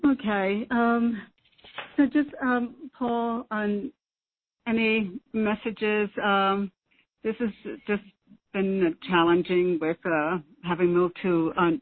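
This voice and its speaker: A woman's voice: medium pitch, little pitch movement, takes it slowly. A woman caller who is losing her voice, speaking in a tired, hesitant way. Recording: phone line with faint noise.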